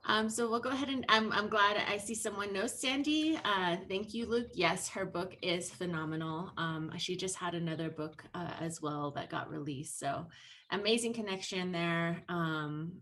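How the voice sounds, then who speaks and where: Woman in her 30s presenting over a laptop mic, lowish voice, lots of ums, earnest. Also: moderate pace, pushed and bright. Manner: earnest, many ums, loose and conversational. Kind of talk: online presentation talk. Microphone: laptop mic on video call.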